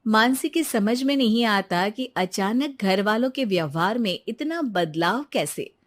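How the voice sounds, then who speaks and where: A woman reading scripted storybook narration for an animated moral tale. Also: fairly high pitch, a little slow.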